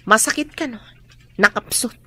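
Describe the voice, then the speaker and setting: A dutiful grown daughter in a radio drama. Her voice is fairly high, troubled and carrying old hurt. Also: moderate pace, bright, forceful tone.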